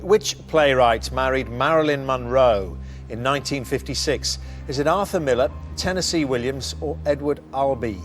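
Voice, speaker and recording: Guy hosting a tv quiz, medium pitch, bit of music underneath. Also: normal speed. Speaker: quiz show host. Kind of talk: presenting and quizzing contestants on a TV quiz. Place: TV studio. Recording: faint music bed behind.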